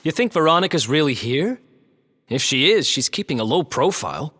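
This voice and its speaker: Laid-back wry game adventurer, lively voice. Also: male voice, a little high, moderate pace, a little hiss or hum. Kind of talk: video game cutscene dialogue. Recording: brass game score underneath.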